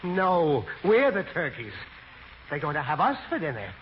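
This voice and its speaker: A man's voice: on the high side, wildly swooping pitch, normal speed. An actor playing a flustered, cowardly, blustering father in theatrical 1940s radio comedy. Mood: panicky. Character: blustering, fretful, cowardly father, dreaming he's a turkey. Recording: noisy, dull vintage radio broadcast.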